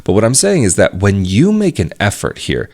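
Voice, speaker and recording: Low-voiced man explaining at an easy pace. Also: huge pitch swings, a little mellow. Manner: measured, explanatory, repeats phrases for emphasis. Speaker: vlogger. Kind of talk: casual to-camera talk.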